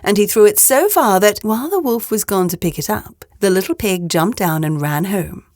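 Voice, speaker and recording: Animated woman reading a children's story. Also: medium pitch, normal speed, no room sound, studio quality. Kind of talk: expressive scripted storybook narration.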